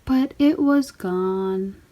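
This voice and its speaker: A woman's voice: medium pitch, very slow, dark tone. A woman reading a children's story aloud, expressively acting out characters and sound words. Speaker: storybook reader.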